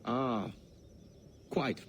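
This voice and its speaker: Guarded, glib impostor, mid-pitched. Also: male voice, extremely slow, a little hiss or hum. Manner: guarded, casual, evasive. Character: bluffing pirate impostor, guarded and glib young man. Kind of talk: dramatic game acting.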